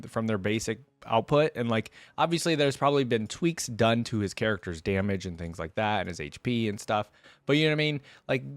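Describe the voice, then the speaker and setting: A man with a mid-range voice hosts in a casual, off-the-cuff way. He chats informally and thinks out loud as he reacts. The sound is dry, with no room on it. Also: medium pitch, no room sound. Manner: informal, chatty, thinks aloud. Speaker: host of a reaction video.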